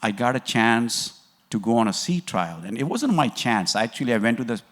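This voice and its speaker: A man's voice: mid-range voice, sing-song, normal speed. A man in his sixties from Kenya, giving a rambling conference talk with lots of fillers and restarts. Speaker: conference speaker.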